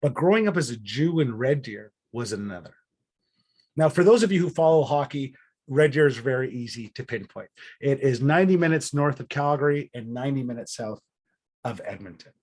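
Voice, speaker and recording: A middle-aged man from Israel who grew up in Canada, giving an earnest personal storytelling talk as a guest speaker, with deliberate, dramatic pauses. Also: medium pitch, animated, darkish tone. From born in Israel, grew up in Alberta, Canada.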